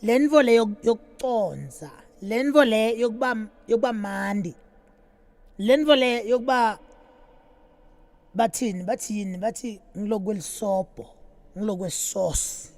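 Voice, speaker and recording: A man's voice: high voice, normal speed, darkish tone. A man, a rapper, chatting casually and jokingly to his live stream viewers between songs, switching easily between English and Zulu.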